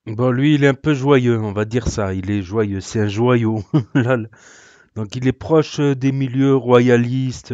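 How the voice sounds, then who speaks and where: A man's voice: fairly low pitch, darkish tone, no room sound, dull audio. A man hosting a reaction video, sarcastic and rambling, with many hesitations. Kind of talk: casual reaction commentary.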